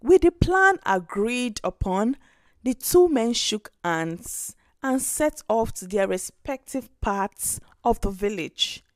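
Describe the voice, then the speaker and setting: A woman storyteller reading a scripted folktale with expressive narration. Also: fairly high pitch, takes it slowly, no room sound.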